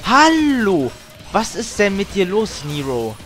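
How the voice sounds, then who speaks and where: A man's voice: high-pitched, huge pitch swings, slow, a bit bright. A man giving casual, grumbling let's play commentary as he games, with some tongue clicking. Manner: grumbling, mild swearing. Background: video game music.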